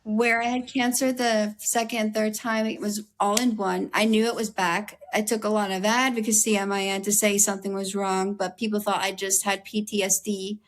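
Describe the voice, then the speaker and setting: A young American woman, a guest in an interview, speaking candidly in an earnest, conversational way with a slightly raspy voice and many "like"s and "um"s. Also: medium pitch, little pitch movement, moderate pace, few pauses, a little hiss or hum, low-quality recording. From United States. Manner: earnest, heavy use of "like" and "um".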